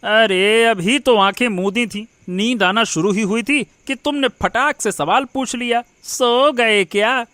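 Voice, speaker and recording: Bullying cartoon husband male actor: high, forceful, menacing, scolding and sarcastic, music behind. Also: unhurried, few pauses, no echo, full character voice. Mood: irritated. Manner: scolding, sarcastic rhetorical questions. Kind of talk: cartoon moral-story voice acting.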